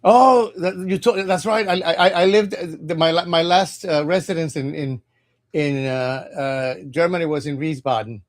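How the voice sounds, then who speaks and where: A man from Cuba, reading tarot cards in a halting, casual livestream chat and often repeating his words. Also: a little high. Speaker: tarot reader.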